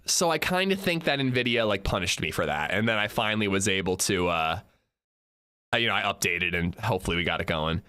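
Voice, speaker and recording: A man livestreaming and chatting casually with viewers, a bit congested, swinging between upbeat shout-outs and low mumbles. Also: clean tone.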